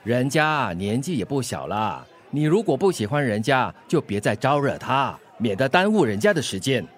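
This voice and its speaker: A man with a Singaporean accent playing a nosy, jovial, worldly-wise uncle in a radio drama, teasing with drawn-out exclamations. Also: medium pitch, very animated. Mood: blunt, teasing.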